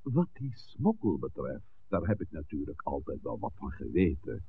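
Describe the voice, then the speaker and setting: A stern village priest in an old radio drama, formal, really swoopy intonation, dull hissy recording. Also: male voice, a bass voice, huge pitch swings, heavily acted. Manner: measured, formal, admonishing. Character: authoritative village priest, composed, stern peacemaker. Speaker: pastor. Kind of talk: 1960s radio drama acting.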